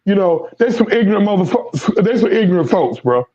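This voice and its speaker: A man's voice: high-pitched, big pitch swings, a little fast, warm tone, lo-fi sound. A man who is losing his voice, hosting casual sports talk and rambling with lots of repeated words. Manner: rambling, repeats words, Southern American slang. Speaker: sports podcast host.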